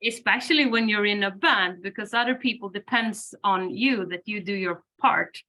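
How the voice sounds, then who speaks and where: A woman whose first language is Swedish, a band singer and lyricist, answering interview questions thoughtfully, with many pauses and fillers and frequent laughs. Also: medium pitch, sharp tone. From Sweden.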